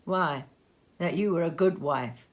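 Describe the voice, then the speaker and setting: A woman voicing a gentle, grieving Victorian father in a storybook reading, with an earnest, emotional and tender manner. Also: sits low, measured pace. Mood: warm, appreciative.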